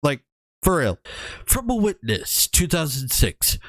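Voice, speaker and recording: Slow-paced man reading horror stories casually. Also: on the high side, very animated, a bit bright, no echo. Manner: stumbles over text and corrects himself, wry joking asides. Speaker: streamer, VTuber host. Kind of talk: casual reading of a horror story aloud with asides.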